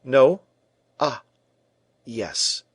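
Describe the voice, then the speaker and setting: A man's voice: mid-range voice, lively intonation, very slow, breathy edge, no room sound, pitched above the performer's own voice, full character voice. A commanding young king from a classic storybook, as a male narrator plays him, speaking in curt, imperious questions and orders. His voice sits a bit above the reader's own. It is dry and close-miked.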